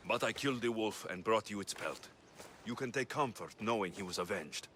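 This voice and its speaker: A man playing a tough, level-headed mercenary in a video game, with a Greek accent and a measured, plain-spoken delivery. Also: fairly low pitch, animated, a bit bright, slight background noise. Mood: grim, firm. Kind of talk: video game cutscene acting.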